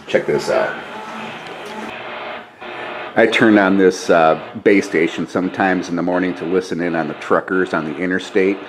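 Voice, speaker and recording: A man's voice: low-pitched, steady tone, moderate pace, pauses often, a crowd in the background. An American man in his fifties giving a casual hands-on demonstration on a camera microphone in a garage workshop. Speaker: DIY video host. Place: small cluttered garage workshop. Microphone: camera microphone at arm's length. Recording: handheld camera, clear background noise.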